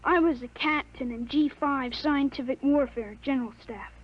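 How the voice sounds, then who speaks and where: A woman playing a thirteen-year-old boy with a grown man's mind in a 1950s radio drama, speaking calmly and matter-of-factly on a dull, lo-fi old recording. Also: sits high, moderate pace, natural voice. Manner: calm, matter-of-fact, self-assured.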